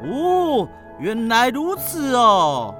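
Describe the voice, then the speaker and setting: Animated, excitable schoolkid played by a woman. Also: fairly high pitch, drawn-out words, faint music behind, heavily acted. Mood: curious, challenging. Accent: Taiwanese Mandarin. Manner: exclamatory, theatrical. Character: excitable, cheeky school child on an adventure. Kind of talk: children's radio drama acting.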